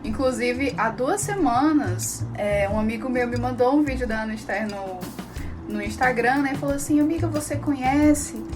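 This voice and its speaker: A woman hosting a Brazilian podcast, chatting casually and excitedly, laughing often. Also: fairly high pitch, normal speed, music in the background. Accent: Brazilian Portuguese. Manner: warm, giggly, excitable. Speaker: podcast host.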